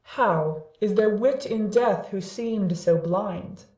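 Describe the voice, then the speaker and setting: A man's voice: sits high, darkish tone. A man playing a proud god of light who pleads for mercy in archaic, declamatory verse drama. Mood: pleading. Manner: lofty, measured, archaic. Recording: echoey room, distant from mic.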